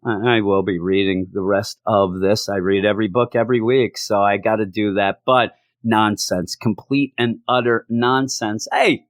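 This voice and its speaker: A hoarse man reviewing a comic book, ranting in exasperation and repeating his complaints for emphasis. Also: lowish voice, fairly even intonation, normal speed, few pauses, no room sound, clean studio sound.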